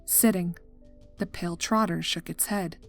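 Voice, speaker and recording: Low-voiced woman narrating over music. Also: steady tone, normal speed. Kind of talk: scripted story narration.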